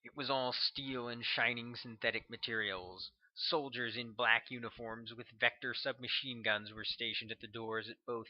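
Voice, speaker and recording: A man's voice: medium pitch, dull audio. A man reading his own thriller novel aloud as scripted audiobook narration.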